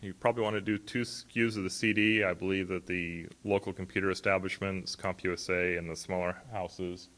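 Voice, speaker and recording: A middle-aged man with a low voice gives a conference talk from a podium on a large stage. His delivery is loose and conversational, full of ums, you-knows and self-corrections. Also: flat delivery, brisk, few pauses, fairly bright tone. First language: not a native Hawaiian speaker. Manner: conversational, frequent fillers and restarts. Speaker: conference speaker. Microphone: podium mic, filmed from far back.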